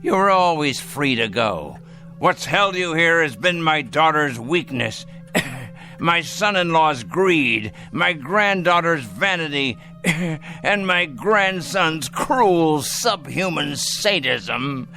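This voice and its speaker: Slow, sardonic dying old patriarch. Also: male voice, fairly high pitch, animated, bright, forceful tone, faint music behind, exaggerated voice. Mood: bitter contempt. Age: older. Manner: theatrical, scornful, deliberate speech. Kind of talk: dramatic radio play acting.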